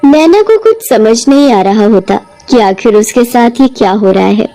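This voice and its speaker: A woman narrating an animated children's story in a lively storytelling manner. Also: on the high side, normal speed, soft background music. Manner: animated, shifts into character voices.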